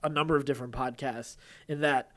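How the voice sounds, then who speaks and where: A man's voice: mid-range voice, fairly even intonation, fairly quick, fairly bright tone. A man co-hosting a podcast in casual conversation, talking in a wordy, rambling way as he thinks aloud. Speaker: podcast co-host.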